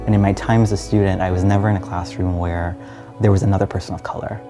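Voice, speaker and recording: A man's voice: deep voice, flat delivery, fast talker, over music. A young man from Trinidad and Guyana telling his personal story in a reflective, earnest way, as in a documentary interview. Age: young adult.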